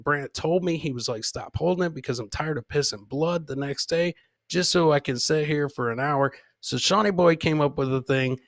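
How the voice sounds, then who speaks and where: A man's voice: on the high side, a bit bright, no room sound, studio quality. A man hosting a live comedy podcast, bantering casually and rambling, with swearing and showman-like addresses to his audience. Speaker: podcast host.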